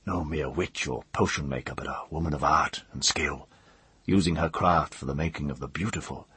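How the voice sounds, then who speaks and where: A wise, humble male mage as a man narrates him, very low and markedly husky. He is stern but tender, speaking softly, solemnly and with measured care, like a figure from a fantasy storybook, recorded dry. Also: no echo, full character voice. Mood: strained, sorrowful.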